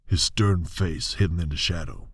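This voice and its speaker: An actor playing a folksy old-west storyteller, narrating a western radio serial in a wry manner. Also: male voice, deep voice, normal speed, husky, no room sound, clean studio sound. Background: music behind the voice.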